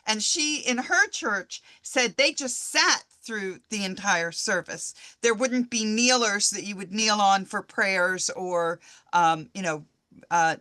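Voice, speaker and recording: A woman's voice: medium pitch, sharp tone, dry recording. A woman chatting informally on a video call, sharing personal anecdotes and asking questions.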